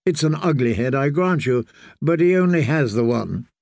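A man voicing a grim, one-handed knight who speaks in a cold, level, quietly menacing way. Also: on the high side, lively intonation, normal speed, vocal fry, dry recording, studio quality.

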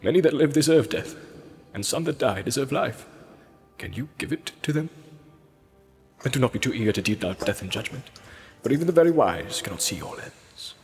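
A man voicing a wise old mentor in a storybook, grave, hushed and admonishing, like a distant remembered voice with reverb. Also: medium pitch, expressive, a little fast, pauses often. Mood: solemn, compassionate. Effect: long reverb, as a distant memory. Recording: long reverb, distant-sounding, over music.

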